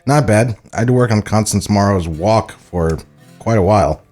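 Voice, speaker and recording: A man's voice: fairly low pitch, normal speed, a little mellow, faint music behind. A man at a script table read playing a friendly, casual, slightly sheepish animator.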